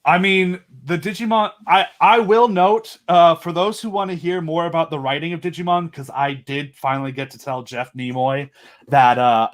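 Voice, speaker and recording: Man, video call guest, enthusiastic, rambling, fan talk. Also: mid-range voice. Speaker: podcast host and audio drama creator. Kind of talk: casual video chat interview.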